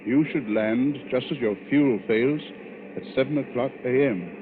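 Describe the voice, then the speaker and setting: Casting: a man to play an older, patronising man of wealth, gruff and blustery, medium pitch, vintage radio thriller. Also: a little slow, noisy background, close to an everyday voice. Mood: worried. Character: blustering, crusty older rich businessman, demanding and patronising. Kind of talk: 1940s radio thriller acting. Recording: muffled vintage radio recording with music.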